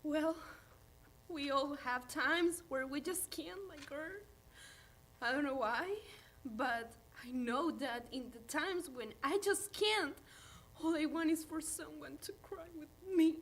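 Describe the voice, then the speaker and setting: A woman's voice: high-pitched, slow pace, a bit bright, heavily acted, low-quality recording. A woman playing a caring, tender mother in an acted script reading. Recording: faint hum and room noise.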